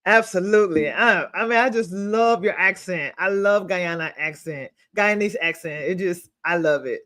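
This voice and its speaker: Woman host, emphatic, reads guest intros with pauses for drama. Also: fairly low pitch, a bit bright. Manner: emphatic, pausing between words.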